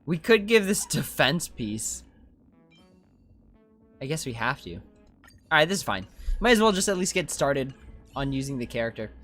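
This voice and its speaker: A streamer comments casually on a game, thinking aloud in slangy phrases. The mid-pitched voice swings animatedly between notes. Faint music plays behind the talk. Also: sing-song, moderate pace, long pauses, a bit bright. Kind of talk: casual gameplay commentary, reading chat.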